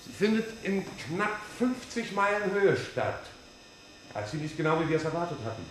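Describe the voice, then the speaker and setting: An actor playing a precise, excitable technical scientist in a 1950s German radio drama. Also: male voice, high-pitched, normal speed, reverberant, a little hiss or hum, poor audio. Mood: excited, explaining.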